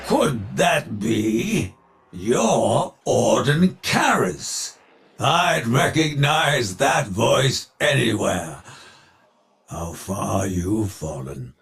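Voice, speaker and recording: An actor playing a ruthless, grandiose older industrialist villain in a video game, speaking in a theatrical, pompous and declamatory way with a gravelly voice. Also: male voice, mid-range voice, very animated, fairly bright tone, faint music behind, exaggerated voice. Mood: persuasive. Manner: pompous, declamatory, slow deliberate phrasing.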